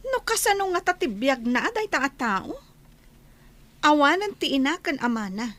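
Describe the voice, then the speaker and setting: A woman with an Ilocano accent acting a lively, defiant, lovestruck teenage schoolgirl in a radio comedy drama. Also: high-pitched, normal speed. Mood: disdainful.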